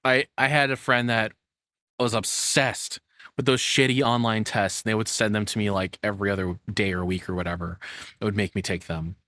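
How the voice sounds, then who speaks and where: A man's voice: on the low side, flat delivery, normal speed, bright, forceful tone, dry recording, studio quality. A young man giving casual reaction commentary as a streamer, rambling and frank with some swearing.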